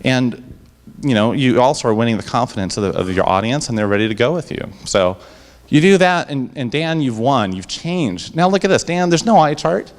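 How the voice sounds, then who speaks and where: A man pitching his startup to a live audience and then answering their questions on a handheld mic. He talks with upbeat enthusiasm and often restarts his sentences. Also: lively intonation, normal speed. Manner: enthusiastic, upbeat salesman patter with frequent restarts. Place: event room before a live audience. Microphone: handheld microphone.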